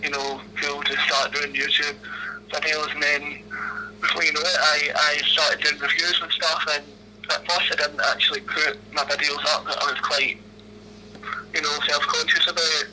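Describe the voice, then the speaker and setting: A man's voice: fairly high pitch, flat delivery, normal speed, bright, forceful tone, slight background noise. A man in his twenties with a Glaswegian accent, answering interview questions over a phone call in a hesitant, laughing way, full of ums and restarts. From Scotland. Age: 20s.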